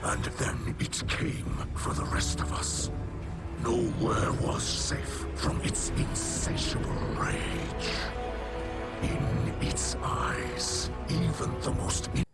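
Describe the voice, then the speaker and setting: A man playing a solemn, haunted alien leader in a game cutscene, with a breathy, gravelly rasp and slow, grave delivery. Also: fairly low pitch, animated. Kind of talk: dramatic game cutscene acting. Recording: loud music under the voice.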